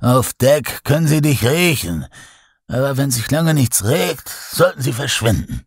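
A man voicing an old sailor who warns in a flat, emotionless tone. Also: medium pitch, measured pace, creaky, husky, dry recording, studio quality, pitched above the performer's own voice, full character voice. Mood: cold warning. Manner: flat, unmoved warning.

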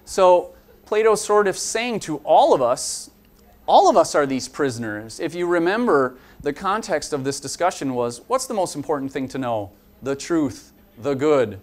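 A man's voice: a little high, big pitch swings, normal speed. A young man, a college instructor, giving an earnest, explanatory lecture to students and gesturing as he talks. He is picked up by a camera from across a conference room.

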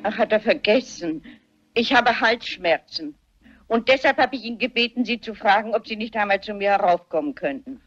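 A woman's voice: mid-range voice, expressive, moderate pace, fairly bright tone. An actress playing a shrewd, composed elderly lady detective in a radio drama, speaking politely and precisely. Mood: polite, guileless. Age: older. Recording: dull lo-fi audio, music behind.

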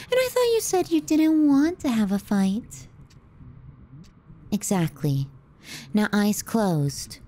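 A woman playing a teasing, callous, clingy younger sister in an intimate ASMR roleplay, sardonic and often hushed. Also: mid-range voice, animated, takes it slowly, long pauses. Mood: bossy, exasperated. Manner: sardonic, needling, dropping into hushed murmurs.

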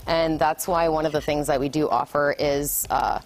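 An Armenian-born woman chef calmly describing her dishes during a live TV cooking segment in a studio kitchen. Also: sits low, normal speed, rough recording. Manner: calm, matter-of-fact describing food. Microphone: lapel mic.

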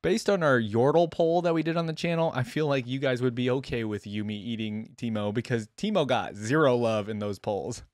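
A man's voice: mid-range voice, fairly quick, few pauses, no echo, studio quality. A young man giving casual, conversational reaction commentary as a video host, musing aloud. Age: young adult. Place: indoor desk, voice close to microphone. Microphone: desk microphone close to mouth.

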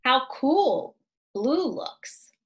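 An excitable little storybook rabbit, played by a woman reading aloud. The voice jumps high and swoops around, full of exaggerated, curious wonder for small children. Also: drawn-out words, dull audio, heavily acted. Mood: musing.

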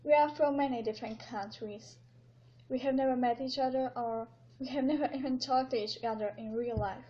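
A woman's voice: fairly high pitch, steady tone, a little fast. A young woman from the Czech Republic sending a heartfelt birthday video greeting as a fan, speaking into a webcam in a small room. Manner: earnest, heartfelt. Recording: dull lo-fi webcam audio.

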